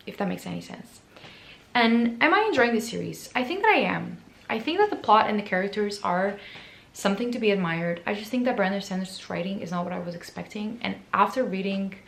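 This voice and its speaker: A woman with a hoarse, raspy voice chatting casually about books to camera, chatty and self-deprecating. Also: mid-range voice, roomy sound. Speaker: book vlogger. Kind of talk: casual book chat to camera.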